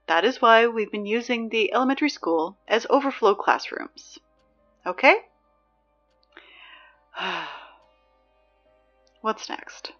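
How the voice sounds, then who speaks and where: Woman acting as sarcastic school announcer, dry, exasperated, emphatic, medium pitch, dull lo-fi sound, music underneath, fiction podcast. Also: a bit bright.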